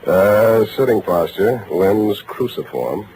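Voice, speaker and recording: An actor playing a cocky, wisecracking hard-boiled private detective in a 1940s radio drama, with glib, dry banter. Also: male voice, on the low side, animated, slow pace, darkish tone. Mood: teasing, mock-official. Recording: noisy vintage 1940s radio broadcast.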